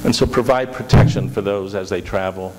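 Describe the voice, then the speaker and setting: A man's voice: on the low side, fairly quick, a little mellow. A middle-aged man, a pastor, speaking earnestly in prayer and announcements, often repeating words as he goes. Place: large church sanctuary. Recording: reverberant church hall with hiss.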